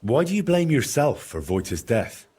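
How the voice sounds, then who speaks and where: An actor playing an earnest young medieval adventurer in a video game, direct and questioning, with a slightly husky voice. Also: male voice, medium pitch, big pitch swings, moderate pace, a little mellow. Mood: concerned, questioning. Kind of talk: video game dramatic dialogue.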